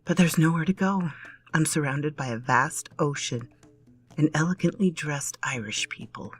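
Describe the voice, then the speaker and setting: Storyteller, woman, husky whispery read, intimate. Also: low voice. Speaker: story narrator. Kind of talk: dramatic storybook reading.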